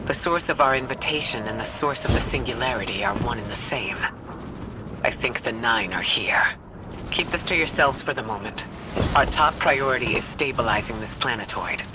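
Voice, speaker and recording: A male actor playing a calm, watchful robotic companion in a video game, measured and serious. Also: mid-range voice, lightly acted, poor audio. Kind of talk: video game dialogue acting. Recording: clear background noise and ambience.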